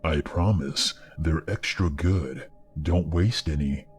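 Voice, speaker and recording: A man voicing a creepy male ice cream truck driver, speaking low, slow and sly. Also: soft background music. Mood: sly coaxing.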